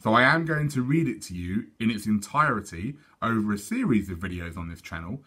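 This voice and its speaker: A British man reads a children's story aloud like a teacher addressing a class. His pitch is animated and varied. The tone is bright and forceful, with a husky edge. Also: brisk. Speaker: teacher reading aloud to schoolchildren.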